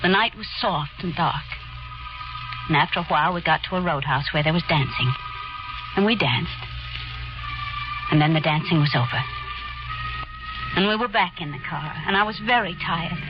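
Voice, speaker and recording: Brief: woman playing a jittery young carousel girl, halting speech, dull 1940s radio with loud score. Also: fairly low pitch, natural voice. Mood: reflective. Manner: hesitant, halting. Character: young woman carousel attendant, nervous narrator. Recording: lo-fi 1940s radio broadcast with music.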